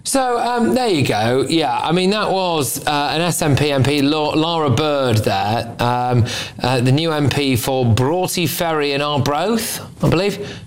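Male panel host, voice on the higher side, mocking and chatty. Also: lively intonation, a little slow, slight background noise. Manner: mocking, sarcastic. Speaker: host of a topical panel show. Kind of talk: casual opinionated panel banter.

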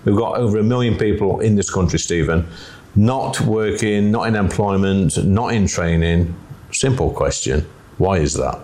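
A middle-aged man hosts a radio talk segment. His voice sits very low, and he asks short, blunt questions that push back on his guest. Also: normal speed, darkish tone. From North Nottinghamshire, England. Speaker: radio host. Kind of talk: radio phone-in interview.

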